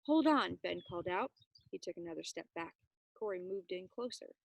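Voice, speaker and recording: A woman's voice: fairly low pitch, fairly quick, creaky, a little hiss or hum. A woman reading a children's novel aloud with dramatic, suspenseful narration. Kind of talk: expressive read-aloud narration.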